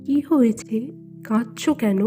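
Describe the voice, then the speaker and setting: A woman's voice: fairly high pitch, measured pace, warm tone, soft background music, close to an everyday voice. A woman voicing a worried poor farmer's wife and mother in a cartoon, plaintive and reproachful. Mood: concerned.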